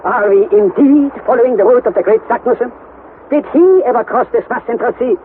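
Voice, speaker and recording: A man's voice: very high voice, animated, brisk. A tinny old radio recording carries an elderly professor, fussy and easily exasperated. His voice swings widely as he exclaims and repeats himself like a lecturing naturalist in a vintage adventure serial. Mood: irritable, impatient. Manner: pedantic, exclamatory, irritable, repeating words emphatically. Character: excitable, impatient elderly professor uncle, obsessive naturalist. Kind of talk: vintage radio drama acting. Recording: tinny, band-limited vintage radio, faint noise.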